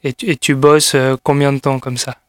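A man's voice: mid-range voice, flat delivery, a little fast, no room sound, clean studio sound. A man hosting an interview podcast, asking friendly, informal questions and summing up what his guest says. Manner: friendly, informal, sums up and asks follow-ups. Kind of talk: interview conversation.

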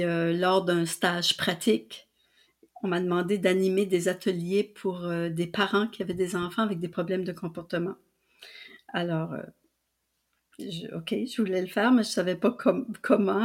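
Older Acadian-region Canadian French woman guest, low-pitched, rambling storyteller. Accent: Canadian French (New Brunswick). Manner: warm storyteller with many fillers and restarts. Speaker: guest, publisher and translator. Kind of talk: casual storytelling interview.

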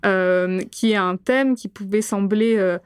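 Interview guest: young woman from Réunion, Gujarati as her first language, clear voice, measured and halting. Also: mid-range voice, no room sound. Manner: thoughtful, frequent hesitations. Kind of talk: interview answers.

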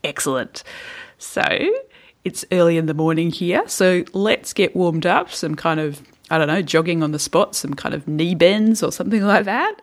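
A woman's voice: lowish voice, moderate pace. A woman hosting a podcast, asking guests questions in a casual, playful way. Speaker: podcast host. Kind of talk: casual interview.

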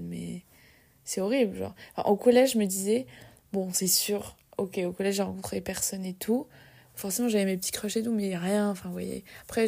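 A young woman in her twenties talks in a husky, gravelly voice, chatty and confiding, with plenty of fillers and restarts. Her pitch stays flat and her tone sounds dark and mellow. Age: 20s. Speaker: podcast host. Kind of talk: casual personal monologue.

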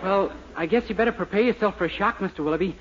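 Man playing high-voiced naive earnest young fellow. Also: rapid-fire, natural voice. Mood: solemn, naive. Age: young adult. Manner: earnest and guileless. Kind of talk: 1940s radio sitcom acting. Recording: muffled, noisy old radio broadcast.

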